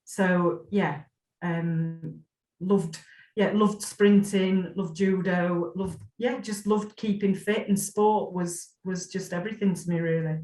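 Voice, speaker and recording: A woman's voice: lowish voice, steady tone, slow pace, echoey room, low-quality recording. A woman, thinking back as an interview guest, with plenty of ums and restarts. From Scotland. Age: 40s. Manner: warm, reflective, many fillers and restarts. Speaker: interview guest, former player. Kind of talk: casual interview.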